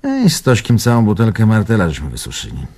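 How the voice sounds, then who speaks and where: An actor playing an old Warsaw tavern owner and spirited war survivor in a radio drama, earthy and raspy, reminiscing in clipped phrases. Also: male voice, on the low side, very animated, fast, darkish tone, heavily acted. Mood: animated, dramatic. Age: older. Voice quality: gravelly, raspy.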